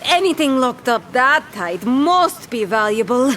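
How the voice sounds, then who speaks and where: An actress playing a bold, ambitious pirate captain in an animated adventure, with a swaggering, sardonic and theatrical delivery. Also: female voice, high voice, measured pace, slight background noise, heavily acted. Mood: excited, greedy.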